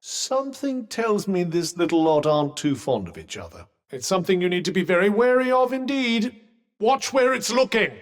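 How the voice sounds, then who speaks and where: A man's voice: sits high, big pitch swings, normal speed. An actor playing a sardonic male companion in a video game, talking in a dry, teasing, mock-polite way. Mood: cautioning. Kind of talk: video game character voice lines.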